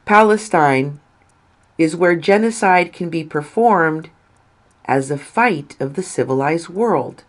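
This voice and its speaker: A woman reading a written article aloud in a deliberate, emphatic way with pauses between phrases. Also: low voice. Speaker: reader of an article.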